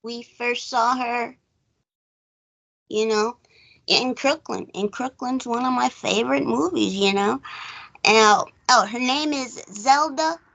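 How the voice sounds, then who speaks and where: A woman talks through a film in a loose, conversational way, like a recap host thinking aloud. Her voice sits fairly high and is full of heavy vocal fry. She rambles easily from point to point. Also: fairly bright tone, poor audio. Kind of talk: casual film discussion.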